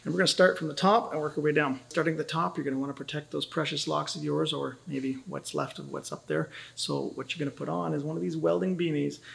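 A man's voice: a little high, fairly even intonation, quick pace, gravelly, few pauses. A man, teaching welding safety in a casual, joking way in a welding shop. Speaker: welding instructor. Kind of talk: instructional video.

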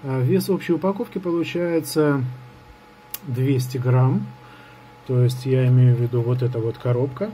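Male product reviewer, mid pitch, unscripted and hesitant, thinking aloud; homemade tabletop recording with some background noise. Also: moderate pace, dark tone. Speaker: gadget reviewer. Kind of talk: casual hands-on product review. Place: indoors at a table in an apartment.

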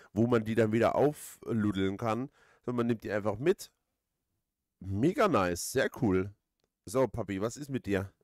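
Low-voiced man rambling casually, dry close sound. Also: no echo. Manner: rambling, hesitant, thinking aloud. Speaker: let's player, host. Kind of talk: casual let's play commentary.

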